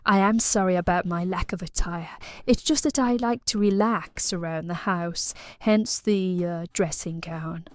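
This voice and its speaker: A woman's voice: lowish voice, unhurried, few pauses, no echo, voice pushed down, natural voice. A woman voicing a posh British lady of the house, coy and seductive, lingering suggestively over her words in an audio play. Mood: coyly inviting.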